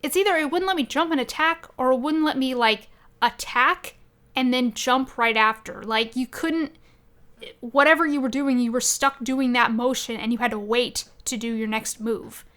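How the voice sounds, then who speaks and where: A woman co-hosting a podcast, chatting casually about video games in an animated, expressive way. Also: high-pitched, moderate pace. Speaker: podcast co-host.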